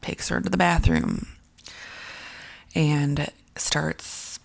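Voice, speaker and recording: A low, gravelly-voiced woman talks through a casual commentary piece. Her voice is raspy and worn from whispering, and she rambles with plenty of ums, restarts and throat-clearing. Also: wildly swooping pitch, extremely slow. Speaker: commentary video creator.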